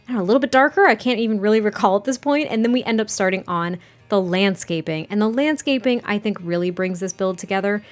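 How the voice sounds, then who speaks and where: A woman with a slightly raspy voice chatting casually in a voice-over about her building, with fillers and a rambling, friendly manner. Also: medium pitch, fast. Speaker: game builder narrating a speed build. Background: soft background music.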